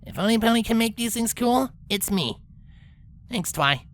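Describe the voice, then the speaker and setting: A woman's voice: a little high, lively intonation, normal speed, dry recording, natural voice. A woman voicing a brash, sulky young female cartoon pegasus who grumbles in cocky slang. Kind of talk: character acting in a fan-fiction story reading.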